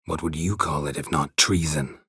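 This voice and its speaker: Gruff middle-aged monster hunter, very husky rasp, low-pitched, terse, sardonic, stoic video game hero. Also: male voice, normal speed, dry recording, clean studio sound, full character voice. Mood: dry, questioning. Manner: terse, clipped, deadpan. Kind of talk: video game character dialogue.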